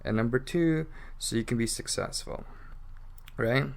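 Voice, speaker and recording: A man's voice: mid-range voice, measured pace, creaky. A man with a mixed British and Canadian accent, an English teacher hosting a casual, rambling, unscripted podcast monologue. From England. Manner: rambling, frequent fillers like "like". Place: Toronto.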